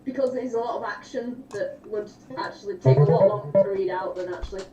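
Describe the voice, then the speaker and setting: Woman, mid pitch, casual explanatory co-host, roomy echo, away from the mic. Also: a little fast, warm tone, muffled recording, faint music behind. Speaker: co-host from the film production team. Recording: roomy, distant mic, voices around.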